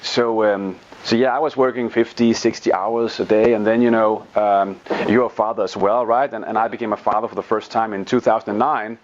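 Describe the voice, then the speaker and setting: A man's voice: fairly low pitch, steady tone, brisk, hardly any pauses. A Danish man, a native Danish speaker using English with a Danish accent, telling his story as a guest in a casual interview. He repeats words and tags his sentences with "right?". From Denmark. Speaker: guest, online business teacher. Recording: dull lo-fi sound.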